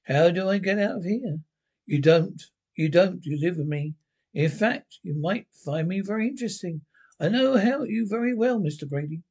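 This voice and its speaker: A sly, smug inner voice that taunts and drags out its words, as a man puts it on. It sounds knowing and mocking, with a fairly high voice. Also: expressive, normal speed, darkish tone.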